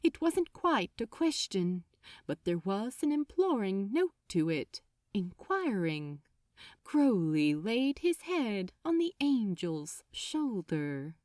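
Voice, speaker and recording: A woman narrating a story from a script in a dramatic, expressive and deliberate way. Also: mid-range voice, no echo, clean studio sound. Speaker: fan fiction narrator.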